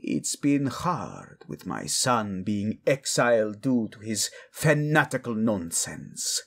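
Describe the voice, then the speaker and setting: A man playing a cold, scheming middle-aged royal father in an audio drama, slow, insinuating and theatrical, with falsely courteous mockery and a gravelly, husky voice. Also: lowish voice, big pitch swings, fairly bright tone, full character voice. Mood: feigned humility. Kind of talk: dramatic audio roleplay acting.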